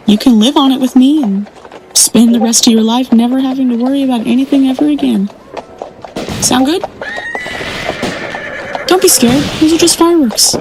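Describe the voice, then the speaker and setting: Woman playing an earnest high-voiced rancher. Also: background noise. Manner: earnest, caring. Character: kind, protective young rancher devoted to a horse. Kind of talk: western audio drama acting.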